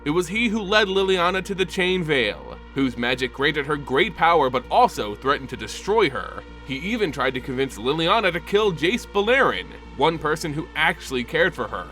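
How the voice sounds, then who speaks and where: Man narrating with highly animated pitch over music. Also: normal speed, few pauses. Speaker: host and narrator of a fantasy lore channel. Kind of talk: scripted dramatic storytelling narration. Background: background music.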